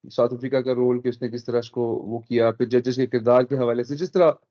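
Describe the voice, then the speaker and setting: A man's voice: mid-range voice, fairly even intonation, a little fast. A man taking part in a casual discussion, speaking reflectively and thinking aloud as he goes. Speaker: guest. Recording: dull lo-fi audio.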